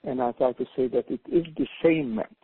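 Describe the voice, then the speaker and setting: A man's voice: mid-range voice, a little fast, no echo. An older man from Hungary, speaking English with a Hungarian accent, talks earnestly on a panel as an author calling in by phone, often repeating words as he searches for them. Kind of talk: reflective panel talk by phone. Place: calling in from home abroad. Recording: husky tinny phone line.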